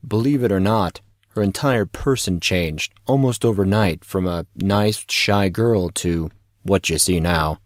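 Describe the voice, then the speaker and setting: Man as low-voiced, easygoing big teenage guy. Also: measured pace, no room sound, clean studio sound. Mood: explanatory.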